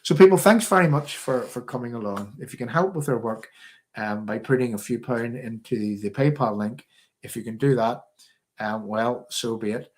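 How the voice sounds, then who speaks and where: A man with a medium-pitched voice and a Northern Irish accent, speaking as the host of a political livestream. He talks off the cuff in an opinionated way, repeating phrases to drive them home. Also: normal speed. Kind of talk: live political commentary, off the cuff.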